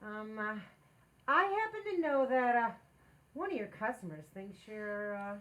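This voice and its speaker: A woman's voice: a little high, lively intonation, a little slow, fairly bright tone, rough recording. A woman playing a playful, meddling married housewife in 1940s radio comedy style, with an emphatic theatrical delivery. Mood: awkward, coaxing.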